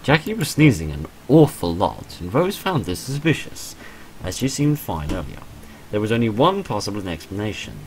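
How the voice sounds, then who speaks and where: A man reading the storybook narration of a gentle visual novel. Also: fairly low pitch, sing-song, normal speed, a little hiss or hum, rough recording. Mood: gentle storytelling.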